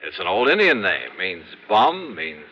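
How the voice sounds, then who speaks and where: Old radio american private investigator, punchy and wry, impatient, muffled vintage recording with faint noise. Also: male voice, deep voice, unhurried, exaggerated voice. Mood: wry, exasperated. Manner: wry, hardboiled, animated. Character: brisk wisecracking male insurance investigator, fee-minded and impatient. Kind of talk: 1950s radio drama acting.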